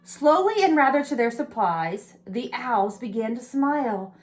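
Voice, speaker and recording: Woman, higher-pitched, preschool storybook reader who pauses to explain and question. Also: animated, a little hiss or hum. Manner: explains pictures and asks listeners questions. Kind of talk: read-aloud picture book with chatty asides to young children.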